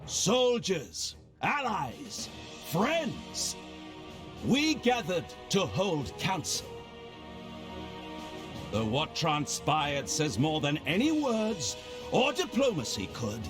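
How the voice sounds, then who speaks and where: An actor playing a commanding orator at a war council in a fantasy game, speaking in a formal, declamatory manner. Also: male voice, a little high, big pitch swings, extremely slow, long pauses, heavily acted. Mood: rousing, grave. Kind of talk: fantasy game cutscene speech. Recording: projected voice over loud music.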